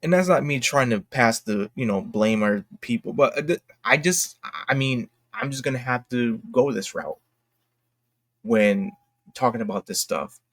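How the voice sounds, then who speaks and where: A man hosting an online commentary video, speaking off the cuff from notes in a halting, self-correcting way. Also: medium pitch, a bit bright, no room sound, studio quality. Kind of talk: unscripted opinion commentary from notes.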